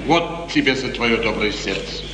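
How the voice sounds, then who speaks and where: An actor playing a scheming, fawning court chamberlain in a theatrical, insinuating 1950s radio style. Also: male voice, medium pitch, lively intonation, normal speed, natural voice. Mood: urgent. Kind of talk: 1950s radio play acting. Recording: echoey hall, distant, noisy 1950s radio recording.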